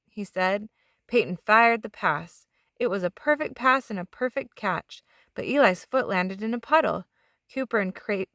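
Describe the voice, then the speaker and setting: A woman narrates a children's storybook at a middling pitch. She stretches out the ends of some phrases as she reads aloud. The recording is dry, with little room sound. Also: fairly bright tone, dry recording. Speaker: children's book reader.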